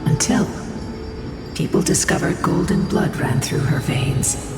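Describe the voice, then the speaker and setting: A woman voicing an ancient, solemn female guardian spirit in a fantasy game, breathy and measured in delivery. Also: sits low. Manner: measured, solemn, archaic phrasing. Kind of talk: fantasy video game voice acting. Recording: echoing hall, distant, loud music behind.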